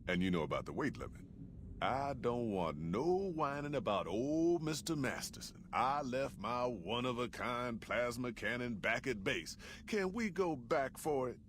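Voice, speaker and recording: A man's voice: medium pitch, big pitch swings, a little slow, a bit bright, a little hiss or hum. An actor playing an older male wasteland trail guide in a video game, speaking in a folksy, plain-spoken drawl. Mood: wry. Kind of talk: video game character dialogue.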